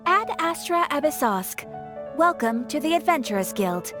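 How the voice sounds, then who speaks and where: A courteous young guild receptionist from a video game, speaks in a high, lively voice. Her delivery is formal and practiced, over prominent music. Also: moderate pace. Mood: welcoming, businesslike. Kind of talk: English video game voice acting.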